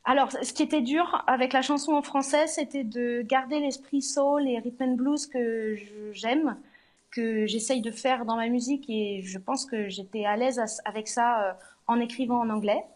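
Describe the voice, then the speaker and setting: A woman singer being interviewed at a venue, speaking haltingly with many fillers and restarts. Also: a little high. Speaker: singer, guest. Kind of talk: live interview on location. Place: arts centre venue.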